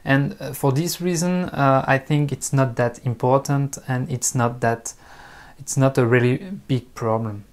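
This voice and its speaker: Slow, hesitant man with strong French accent. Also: medium pitch, steady tone. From France. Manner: hesitant, pausing often with ums and uhs. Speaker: host and French teacher. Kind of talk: unscripted talk to camera.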